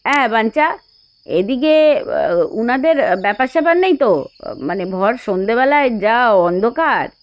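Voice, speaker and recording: A woman's voice: fairly high pitch, animated, no room sound. Jumpy, greedy young uncle for an audio story , played by a woman; blurts and falters, slightly muffled recording. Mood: nervous. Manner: blurting, halting, dropping to hushed conspiratorial tones.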